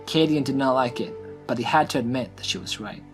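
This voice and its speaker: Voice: medium pitch, lively intonation, a little fast. A storyteller narrates a scripted fantasy tale. The voice sits in a middle register, and music plays faintly behind it.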